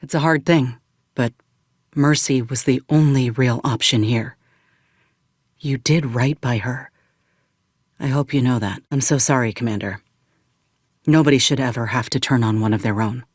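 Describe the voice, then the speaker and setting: A woman's voice: a bass voice, moderate pace, pauses often, darkish tone, husky, no room sound. An actress voicing a plain-spoken, loyal young woman fighter in a video game, with a folksy, wry manner. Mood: gentle, somber. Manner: folksy, dry-witted. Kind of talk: video game companion voice lines.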